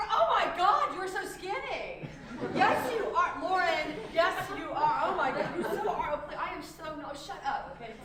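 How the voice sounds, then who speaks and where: Casting: comedienne playing a loud, self-absorbed fashion woman, high and swooping, echoey theater from far off. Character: brash gushing fashion-industry woman. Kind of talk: live stage character comedy. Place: theater stage.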